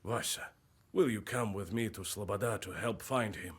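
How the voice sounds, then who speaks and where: A husky, worried villager. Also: male voice, fairly low pitch, normal speed. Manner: earnest, pleading. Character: troubled village man seeking a lost friend. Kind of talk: video game voice acting.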